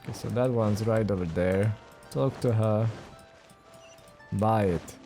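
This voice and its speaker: Male game streamer, mellow dark voice, slow delivery, wry muttered reactions, casual, faint music behind. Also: fairly low pitch, gravelly. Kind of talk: casual let's play commentary.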